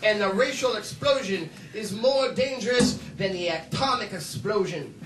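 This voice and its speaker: A man's voice: sits high, moderate pace, natural voice. A man performing a fiery male political preacher-orator in a comedy monologue, with emphatic, repetitive rhetoric. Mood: urgent, warning. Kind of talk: mock political oratory from a film. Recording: roomy, distant mic, faint noise.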